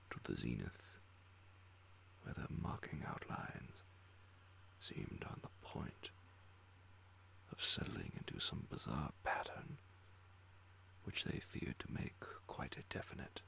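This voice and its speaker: A man reads horror fiction as an ASMR bedtime story. His voice is a breathy, very deep whisper full of heavy gravel. He moves slowly and deliberately through each hushed phrase. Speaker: audiobook reader of horror stories.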